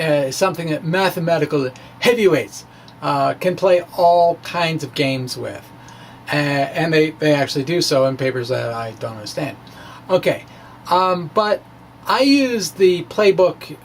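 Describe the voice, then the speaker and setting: A man giving an informal spoken science explanation in a halting, rambling, colloquial manner with wry asides. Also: a little high, expressive. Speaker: amateur physics blogger presenting his work. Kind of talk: informal spoken physics explanation, dramatic reading of his blog. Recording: clear background noise.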